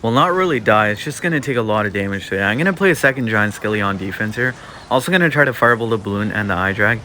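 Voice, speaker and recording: A man's voice: fairly low pitch, fast talker, a little hiss or hum. A young man giving casual play-by-play commentary over his own mobile game match, with lots of filler words. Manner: relaxed, filler-heavy play-by-play with many "like" and "um". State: slightly losing his voice. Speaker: gaming youtuber. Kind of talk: casual live gameplay commentary.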